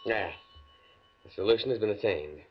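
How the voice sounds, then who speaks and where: Husky, earnest radio-drama professor. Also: male voice, on the low side, expressive, moderate pace. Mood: confident, matter-of-fact. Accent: American. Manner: precise, pedantic, stammers when flustered. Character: earnest, stuffy male university scientist, naive and pedantic. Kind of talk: 1940s-50s radio drama acting. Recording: muffled vintage radio drama recording.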